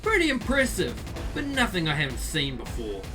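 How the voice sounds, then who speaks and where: A man's voice: on the high side, huge pitch swings, normal speed. A man playing a cocky, sadistic teenage boy in a visual novel, with a taunting, theatrical delivery. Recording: music behind the voice. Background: music.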